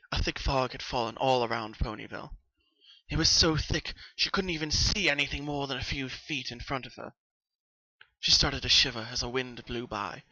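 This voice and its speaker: A man reads a story aloud in a dramatic, suspenseful way. His voice is strikingly bright and sharp at a middle pitch. The sound is close and dry, with very little room to it. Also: dry recording, poor audio. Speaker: narrator. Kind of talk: dramatic fan fiction reading.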